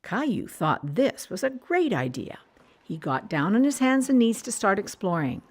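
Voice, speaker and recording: A woman narrating a scripted children's storybook with expressive, clear phrasing. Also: mid-range voice, normal speed.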